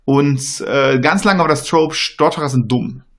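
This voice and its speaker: A man's voice: a little high, a little slow, a bit bright. A man who stutters, speaking in a casual panel discussion, with many fillers and restarts.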